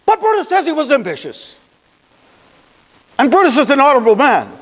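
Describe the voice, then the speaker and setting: A man performing a classical stage monologue as a grieving, impassioned, bitterly ironic Roman funeral orator, declaiming deliberately with weighted pauses. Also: very high voice, wildly swooping pitch, full character voice. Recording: long hall reverb.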